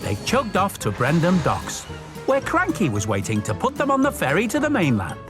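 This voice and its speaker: Animated male children's storyteller. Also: fairly high pitch, normal speed, over music. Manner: addresses young viewers directly with questions and simple instructions. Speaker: children's storytime presenter and narrator. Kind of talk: scripted children's storytelling and craft narration.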